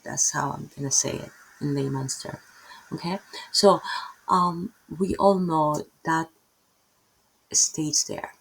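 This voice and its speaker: Low husky Filipino woman teaching Bible. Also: takes it slowly, long pauses, rough recording. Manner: rambling, self-interrupting, tags many lines with "right?". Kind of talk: casual unscripted Bible teaching.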